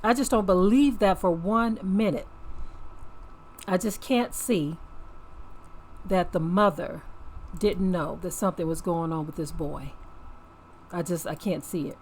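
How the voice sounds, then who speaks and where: A woman's voice: fairly low pitch, unhurried, pauses often, darkish tone, slight background noise. A woman giving earnest, preachy off-the-cuff commentary as host of a religious channel, repeating phrases for emphasis and checking for agreement.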